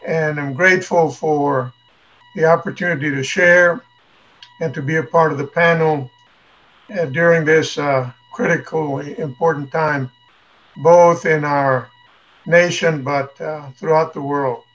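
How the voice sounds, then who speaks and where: This man, a bishop, has a slightly high voice and speaks very slowly, pausing often and filling the gaps. His voice reaches us through muffled, lo-fi video call audio. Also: steady tone, a bit bright. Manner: slow, hesitant, many fillers. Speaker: bishop, panelist. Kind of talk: video call panel introduction. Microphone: video call webcam.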